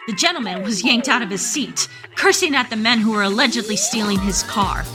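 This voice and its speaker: A woman's voice: a little high, brisk. A woman narrator reading a scripted dramatic story for a radio play. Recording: loud music under the voice. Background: loud music.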